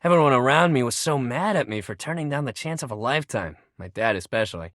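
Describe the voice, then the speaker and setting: Casting: male actor as laid-back young jock coach, a living shuttlecock in a video game. Very lively, swooping intonation, slangy and encouraging, dry close recording. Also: medium pitch, fast talker, no echo, natural voice. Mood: regretful. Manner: slangy, bro-ish, encouraging, swearing casually. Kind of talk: video game character voice acting.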